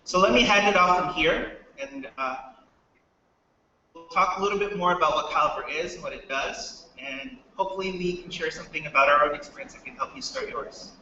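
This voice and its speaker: Bright-voiced American man in echoey hall. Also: fairly high pitch, a little fast, lo-fi sound, a little hiss or hum. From United States. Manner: chatty, asks the audience questions. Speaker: startup co-founder speaker. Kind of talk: casual talk to students. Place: hall with a live audience. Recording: echoey hall, mic from far off.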